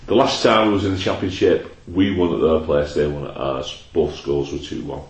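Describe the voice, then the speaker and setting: A man's voice: a bass voice, moderate pace. A man hosting a casual football chat, rattling off stats and tag questions. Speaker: podcast host. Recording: echoey room, faint noise.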